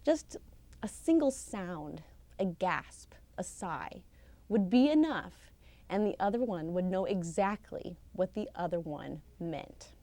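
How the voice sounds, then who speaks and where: Slow, bright-voiced woman telling a folktale. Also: lowish voice, dry recording. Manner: expressive, theatrical, with deliberate pauses and emphasis. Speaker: professional storyteller. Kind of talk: dramatic live oral storytelling of a folktale. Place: live storytelling session at a conference.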